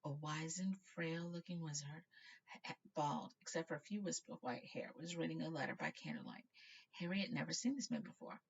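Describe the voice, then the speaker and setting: A woman's voice: sits low, animated, a little fast, lo-fi sound, a little hiss or hum. A woman narrating a children's novel aloud, informally, stumbling now and then and correcting herself. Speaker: storybook reader.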